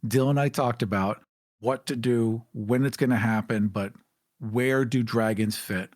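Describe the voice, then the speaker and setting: An enthusiastic man co-hosting a podcast, his voice at a medium pitch. He laughs often and keeps the banter loose and friendly. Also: fairly even intonation, unhurried, darkish tone, no room sound, studio quality. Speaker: podcast co-host. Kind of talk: casual chat.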